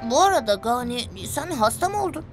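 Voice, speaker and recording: A woman's voice: high voice, sing-song, brisk. A woman voicing a sweet, concerned young boy in a children's cartoon, earnest and childlike. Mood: caring. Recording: music behind the voice.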